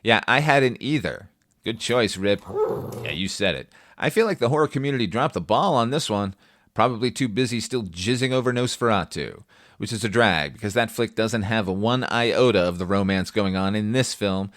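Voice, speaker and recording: A man's voice: fairly low pitch, lively intonation, normal speed, a bit bright, no room sound, clean studio sound. A man hosting a film review podcast, talking conversationally with wry, profane, opinionated asides.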